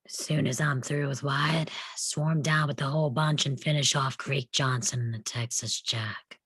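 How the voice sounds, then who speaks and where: A man's voice: mid-range voice, fairly even intonation, moderate pace, a bit bright, slightly rough, dry recording. A man reading a menacing, terse and cold cowboy outlaw in a live table read of a western script. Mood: threatening.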